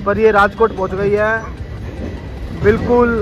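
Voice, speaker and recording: A man's voice: high voice, fairly even intonation, takes it slowly, highs cut off. A young man casually narrating his train journey as a travel vlogger, chatty and full of updates. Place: on a train and station platforms. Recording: crowded train station noise.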